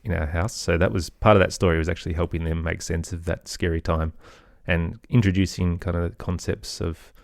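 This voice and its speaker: A man's voice: sits low, fairly even intonation, brisk, creaky, few pauses, no room sound, studio quality. A man with an Australian accent, chatting casually as an interview guest about his work. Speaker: interview guest, children's podcast creator.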